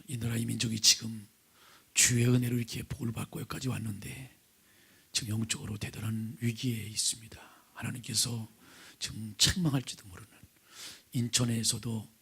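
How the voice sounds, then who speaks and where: A middle-aged male pastor preaching with a hoarse, raspy voice from vocal nodules, often sinking to a breathy near-whisper and asking the congregation questions. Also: mid-range voice, sharp tone. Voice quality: raspy, gravelly. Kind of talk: sermon with opening prayer. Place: church sanctuary, at the pulpit.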